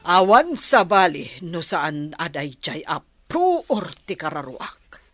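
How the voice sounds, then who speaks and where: A woman's voice: fairly low pitch, big pitch swings, takes it slowly, fairly bright tone, dry recording, soft background music, exaggerated voice. A woman with an Ilocano accent playing an irritable, scheming village wife in a comedy radio drama. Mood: irritated.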